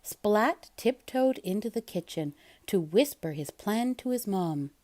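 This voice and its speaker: A woman reading a children's picture book aloud in an expressive narrating style. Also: mid-range voice, a little slow. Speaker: storybook reader.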